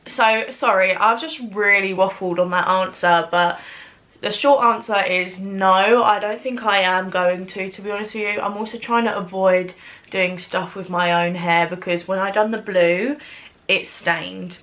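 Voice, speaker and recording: A woman's voice: mid-range voice, steady tone, unhurried, a bit bright. A young British woman with a stuffy, bunged-up nose, rambling chattily as a beauty vlogger in a get-ready-with-me video. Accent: British English.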